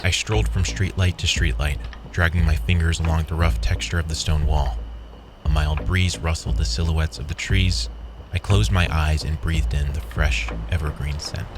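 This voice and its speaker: A man's voice: extremely low pitch, fairly even intonation, normal speed, background noise. A man reading scripted first-person horror fiction as the narrator.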